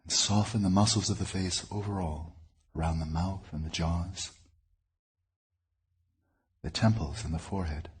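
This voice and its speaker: A man leading a guided meditation as a teacher, speaking in deliberate, measured phrases with pauses. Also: deep voice, steady tone, breathy edge.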